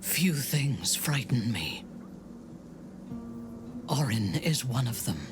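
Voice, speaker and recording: Proud dark elf noblewoman in a video game, slow n deliberate, expressive pitch, guarded but intimate. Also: exaggerated voice. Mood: grim, haunted. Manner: measured, deliberate, grave, formal phrasing. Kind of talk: dramatic video game acting. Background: background music.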